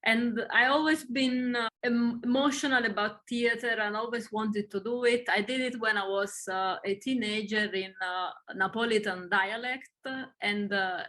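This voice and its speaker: A woman's voice: mid-range voice, steady tone, moderate pace, sharp tone. A woman with an Italian accent, introducing herself on a video call, hesitant and full of fillers. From Italy. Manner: hesitant, with many fillers and restarts. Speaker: acting student guest.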